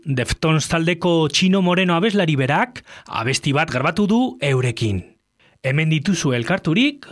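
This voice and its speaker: Lively male music show presenter. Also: a little high. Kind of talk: scripted radio-style narration.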